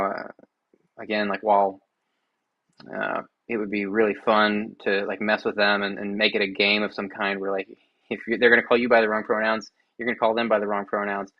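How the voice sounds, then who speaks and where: Male host, low flat voice, halting and thoughtful, muffled dry lo-fi sound. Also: dry recording. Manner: hesitant, thinking out loud with many fillers. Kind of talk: casual call-in listening chat.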